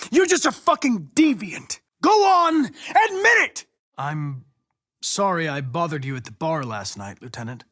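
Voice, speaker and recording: Polite android detective, lively pitch. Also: male voice, exaggerated voice. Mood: forceful, urgent, then soft, sympathetic. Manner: formal, precise, measured phrasing. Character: polite, coolly logical young male android detective. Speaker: police investigator android. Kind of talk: video game voice acting.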